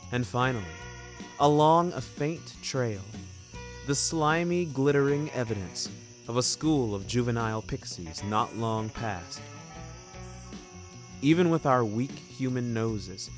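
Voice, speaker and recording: A man tells a first-person story with deliberate, slow pacing and a middle-range pitch. His delivery is wry, wordy and theatrical, like a scripted fiction narrator. Music plays beneath his voice. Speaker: narrator of an audio fiction series.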